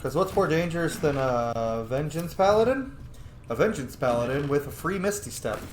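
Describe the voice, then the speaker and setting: Excitable sweary guy, animated pitch. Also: normal speed, reverberant, slight background noise. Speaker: gaming video host. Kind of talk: casual playthrough commentary.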